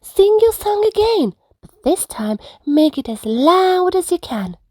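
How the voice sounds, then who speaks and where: A woman's voice: very high-pitched, big pitch swings, a little slow, a little mellow, dry recording, studio quality, voice pushed up, heavily acted. A woman voicing a friendly, enthusiastic male sea lion in a children's storybook, exclaiming and encouraging. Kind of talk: children's storybook read-aloud.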